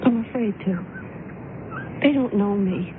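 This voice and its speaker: A woman's voice: medium pitch, measured pace, close to an everyday voice. An actress playing a sweet, shy, innocent young woman in a radio drama, speaking politely and earnestly. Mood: shy, pleading. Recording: noisy lo-fi old radio broadcast.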